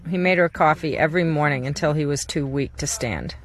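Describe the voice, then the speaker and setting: A woman journalist narrating a personal documentary in a quiet, measured, gentle way. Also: low voice. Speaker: journalist narrating a documentary about her father.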